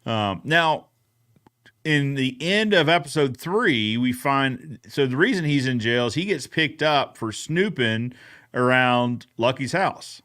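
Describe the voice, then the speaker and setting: A man hosts a relaxed recap show in an everyday mid-pitched voice. He banters in a folksy, joking way and likes to repeat a phrase for effect. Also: slow.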